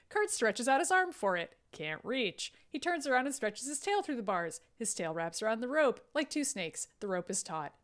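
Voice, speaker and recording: Female podcast host on stage directions: playful, wry, expressive, fairly high voice. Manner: playful, sardonic asides. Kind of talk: comic live script table read.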